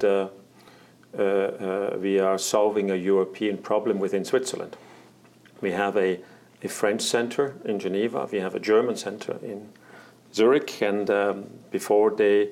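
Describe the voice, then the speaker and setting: A man in an interview, explaining air traffic plans as an executive, halting often with fillers and restarts. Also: lowish voice, a little mellow. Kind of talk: interview answers.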